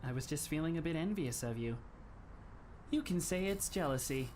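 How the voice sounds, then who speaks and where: Man acting a polite, composed schoolboy, slightly high-voiced. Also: animated, a little mellow, slight background noise, close to an everyday voice. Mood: gentle, wistful. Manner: formal, measured, courteous phrasing. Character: polite, composed teenage schoolboy, calmly explaining, faintly wry. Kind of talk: anime fan dub acting.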